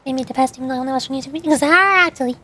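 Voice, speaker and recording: A woman's voice: sits high, rapid-fire, sharp tone. A young woman streamer chatting casually with her viewers, rambling as she shows old screenshots. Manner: chatty, rambling, reads chat aloud.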